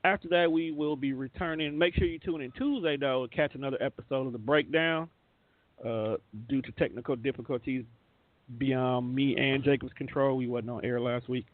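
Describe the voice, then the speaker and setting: A man's voice: medium pitch, steady tone, moderate pace, no room sound. A man hosting a casual radio show, talking informally with plenty of slang. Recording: narrow, phone-like sound.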